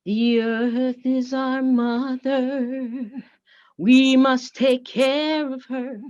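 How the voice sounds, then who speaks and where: A woman's voice: sits high, fairly even intonation, very slow, a little mellow, dull audio. A woman giving a simple, repeated chant in a sung style.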